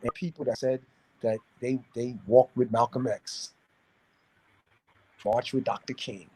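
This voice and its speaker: A man from New City, talking casually and giving opinions in a halting way, repeating words as he searches for phrasing. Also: medium pitch, steady tone, warm tone, breathy edge, low-quality recording. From New York City, United States. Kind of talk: casual discussion, opinionated talk.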